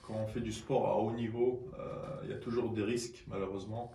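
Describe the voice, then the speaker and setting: A man's voice: on the low side, steady tone, brisk, dark tone. A man explaining as a sports guest in an interview, often repeating words as he goes. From born in Siberia, moved to France aged 10. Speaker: arm-wrestling athlete, radio guest. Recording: roomy sound with faint noise.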